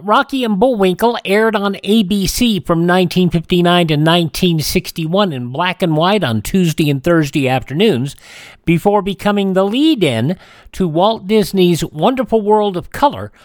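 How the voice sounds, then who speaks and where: Radio host, animated pitch, dry close sound, informal almanac-style reading with a halting delivery. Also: no echo. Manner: halting reading with stumbles and self-corrections. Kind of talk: informal scripted narration of this-day-in-history items.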